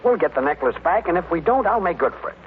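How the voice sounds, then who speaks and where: An actor playing an excitable, blustering husband and businessman, emphatic and theatrical, in an old radio comedy. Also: high-pitched, fast. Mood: defiant. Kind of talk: 1930s-40s radio comedy acting. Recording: tinny, noisy old radio recording.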